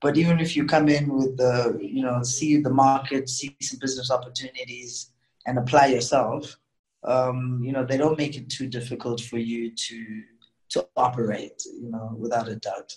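A man's voice: mid-range voice, flat delivery, moderate pace, darkish tone, rough recording. Zimbabwean guy, musician being interviewed, casual chatty answers.

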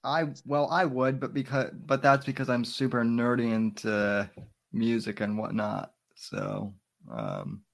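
A man's voice: mid-range voice, lively intonation, unhurried, vocal fry. A young man hosting a casual livestream, stumbling and repeating his words as he starts sentences. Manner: hesitant, repeats his words, reads chat. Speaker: livestream host.

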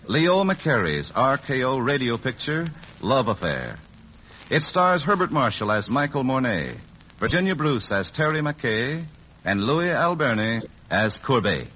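A man's voice: mid-range voice, very animated, measured pace, a little hiss or hum. A man narrating as a 1940s radio announcer, with theatrical storytelling delivery. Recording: muffled old radio broadcast. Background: loud music.

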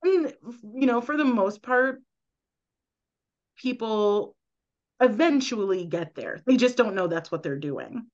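A woman's voice: fairly high pitch, lively intonation, takes it slowly, long pauses, muffled recording. A woman guest on a podcast, earnestly explaining at length and often checking in with "right?". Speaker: guest, medical intuitive.

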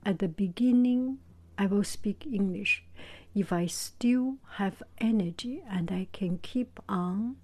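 A woman hosting her own channel, talking gently to her viewers in a soft whisper, hesitant and full of pauses. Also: mid-range voice. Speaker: channel host. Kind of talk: whispered vlog talk to viewers.

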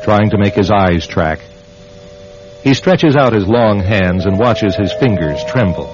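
A man hosting and narrating a radio suspense program in a scripted, dramatic storytelling style. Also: on the low side. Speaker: host and narrator. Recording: muffled old radio broadcast. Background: loud dramatic music.

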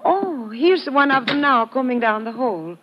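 Female coy, hospitable inn woman, animated pitch, muffled vintage radio drama with faint noise. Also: unhurried. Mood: concerned, polite. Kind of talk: 1950s radio drama acting. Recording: muffled, faintly noisy old radio recording.

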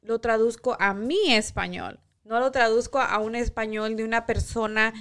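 A woman's voice: a little high, steady tone, normal speed, fairly bright tone, clean tone. Easygoing young woman. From Chicago. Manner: easygoing, code-switching, jokey. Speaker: co-host, bank worker. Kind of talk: casual chat.

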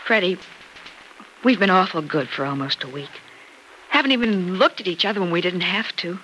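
A woman's voice: on the low side, animated, normal speed, a bit bright, rough recording. An actress playing a brash, slangy working woman with an American accent, blunt and teasing, in a classic radio drama. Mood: yearning, pointed. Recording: old radio recording, faint noise.

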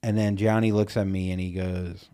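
A man's voice: deep voice, flat delivery, normal speed, warm tone. A young man co-hosting a podcast, chatting casually, telling loose stories, teasing and swearing freely. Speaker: podcast co-host. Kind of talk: casual chat.

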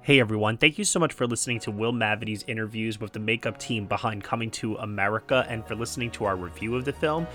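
Lowish-voiced male podcast host over music. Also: quick pace, few pauses, fairly bright tone. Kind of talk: scripted podcast outro.

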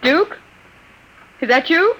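Anxious, high-pitched radio melodrama heroine. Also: female voice, lively intonation, slow, sharp tone, faint music behind, heavily acted, rough recording. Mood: anxious, questioning. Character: frightened, anxious woman menaced by a robot. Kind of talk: 1950s radio drama acting. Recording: hissy old radio recording.

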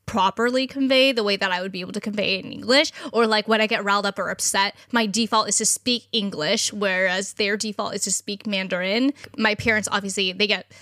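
A woman in her thirties co-hosting a casual conversation, talking quickly and expressively and laughing often. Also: mid-range voice, steady tone, bright, forceful tone, dry recording, clean studio sound. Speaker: podcast co-host.

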